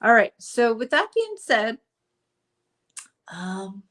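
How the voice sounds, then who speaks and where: A woman coaching viewers in a casual live stream, chatty, with many fillers, and slightly hoarse from a cold. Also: on the high side, sing-song, slow, a bit bright. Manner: chatty, with frequent fillers and asides.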